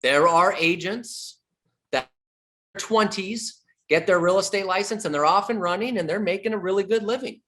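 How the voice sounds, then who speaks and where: A man's voice: high voice, moderate pace, a bit bright. A middle-aged man giving a sales training talk as a real estate coach, emphatic, repeating his key points and joking with the audience.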